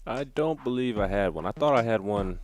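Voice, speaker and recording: A man's voice: lowish voice, animated, fairly quick. A man co-hosting a sports radio show, wry and teasing in casual banter. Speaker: radio co-host.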